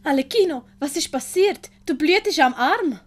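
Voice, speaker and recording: A woman's voice: high voice, expressive, moderate pace, voice pushed up. A woman voicing an earnest, childlike young maiden in a storybook. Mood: alarmed.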